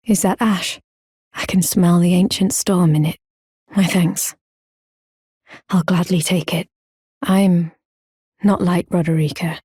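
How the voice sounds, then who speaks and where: Solemn warrior woman, low and even. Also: breathy edge, no room sound, studio quality, full character voice. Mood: grateful, calm. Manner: solemn, measured, formal. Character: proud, earnest woman warrior, grown, stoic and honour-bound. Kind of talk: video game character acting, formal archaic speech.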